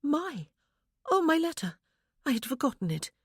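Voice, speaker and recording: Woman voicing a soft, eager young lady. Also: high voice, big pitch swings, normal speed, dry recording, studio quality, pitched above the performer's own voice. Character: gentle young woman, hesitant and earnest.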